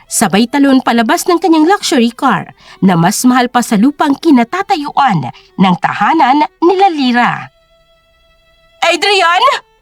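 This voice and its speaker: A woman's voice: sits high, no echo. A woman narrating a scripted drama story in a dramatic storytelling style.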